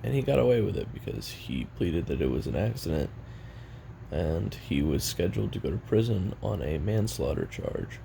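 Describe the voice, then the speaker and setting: An actor playing a weary, regretful young assassin in an audio roleplay, making a halting, brooding confession in a gravelly voice. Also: male voice, deep voice, very animated, warm tone, a little hiss or hum, exaggerated voice. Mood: grim, matter-of-fact. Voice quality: gravelly, creaky. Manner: halting, brooding, casually profane.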